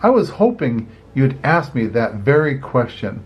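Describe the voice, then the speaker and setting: A man's voice: mid-range voice, unhurried, lo-fi sound, a little hiss or hum. An older man voicing a cheerful, eager young male ant inventor who loves showing off his machines in a children's storybook. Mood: eager, happy. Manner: bright, excitable, eager to show off.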